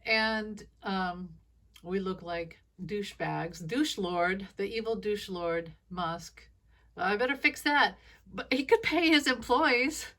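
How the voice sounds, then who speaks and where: A woman's voice: medium pitch, takes it slowly, a bit bright. A woman with a scratchy voice from allergies, rambling through a casual solo monologue as a psychic commentator, with chuckling asides. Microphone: phone.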